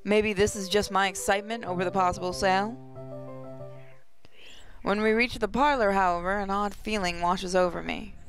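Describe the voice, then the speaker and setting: A woman gaming host reading a visual novel aloud as expressive first-person story narration. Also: mid-range voice, normal speed, poor audio. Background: music.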